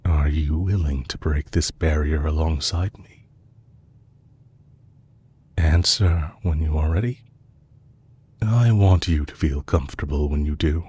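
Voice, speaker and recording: A man playing a composed, courteous villain leader who is possessive and lovestruck, speaking formally and deliberately in an intimate romantic audio roleplay. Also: rumbling low, warm tone, husky, full character voice. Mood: sincere, gentle. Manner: formal, deliberate, courtly phrasing with long pauses.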